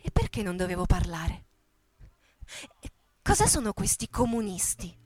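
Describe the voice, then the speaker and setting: A woman's voice: mid-range voice, sing-song, moderate pace, a little mellow, husky, dry recording, faint music behind, pitched below the performer's own voice. A woman playing an indignant young male factory worker in an emphatic, questioning stage monologue.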